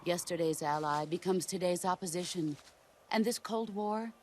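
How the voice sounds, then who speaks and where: An actress playing a stern, middle-aged veteran soldier and mentor, speaking in a calm, measured, solemn way in a game cutscene. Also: female voice, fairly low pitch, a little hiss or hum, lightly acted. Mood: reflective.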